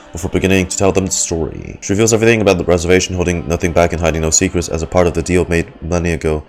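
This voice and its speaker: A man with a low voice gives casual, off-the-cuff reaction commentary as a game streamer. Music plays behind him. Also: a little mellow.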